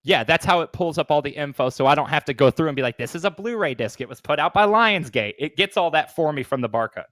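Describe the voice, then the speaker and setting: A man's voice: fairly high pitch, animated, fast, few pauses, fairly bright tone, dry recording, studio quality. A man in his thirties chatting casually as a podcast co-host, informal and swearing freely with lots of dude slang. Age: 30s. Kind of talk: casual chat.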